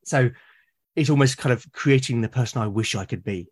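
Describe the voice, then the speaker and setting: A man from Britain, a podcast guest, talking eagerly about his characters, with lots of restarts and a slightly husky voice. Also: medium pitch, a little fast. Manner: enthusiastic, rambling with many restarts. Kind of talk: casual podcast conversation.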